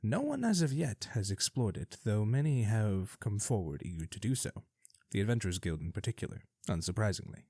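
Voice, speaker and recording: A man with a lowish voice hosts a scripted fantasy radio broadcast. He talks in a wry, theatrical manner, full of knowing asides. The sound is close and dry, with no room around it. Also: sing-song, a little fast, mellow, slightly rough, no echo. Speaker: radio show host.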